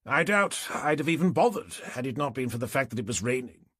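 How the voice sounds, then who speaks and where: A man's voice: mid-range voice, lively intonation, brisk, fairly bright tone, breathy edge, dry recording, studio quality. A man voicing an indignant, pompous middle-aged neighbour in an audiobook, with a clipped British accent. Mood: haughty. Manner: pompous, exasperated.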